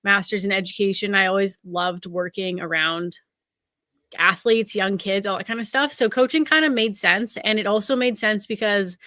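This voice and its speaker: A woman's voice: mid-range voice, normal speed, sharp tone, dry recording, studio quality. A woman in her thirties from Canada, a college recruiting scout chatting as an interview guest in an upbeat way with lots of fillers. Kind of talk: casual interview conversation.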